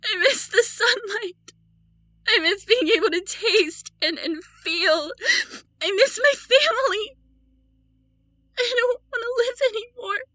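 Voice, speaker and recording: A woman playing a grieving, newly turned vampire mother in an audio drama, pleading in a hesitant, halting way. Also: very high voice, lively intonation, sharp tone, dry recording, heavily acted. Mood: tearful anguish. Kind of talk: cinematic audio roleplay acting.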